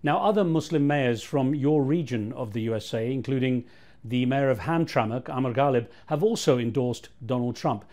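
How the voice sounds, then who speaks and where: Man posing measured news questions. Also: mid-range voice, a little mellow. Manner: measured, formal phrasing. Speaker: news interviewer. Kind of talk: scripted news interview questions.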